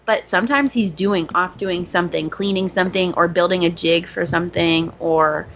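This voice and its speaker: A woman's voice: on the low side, moderate pace, a bit bright. A woman chatting casually as a podcast co-host about her work. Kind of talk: casual chat. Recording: dull lo-fi audio with background noise.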